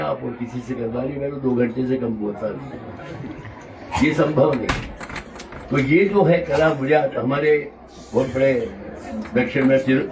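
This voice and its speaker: Man with a sore throat preaching, thoughtful and rambling, sounds far off in a big echoey room. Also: medium pitch. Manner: reflective, digressive, explains terms. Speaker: spiritual teacher. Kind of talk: devotional discourse. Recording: roomy hall audio, mic at a distance.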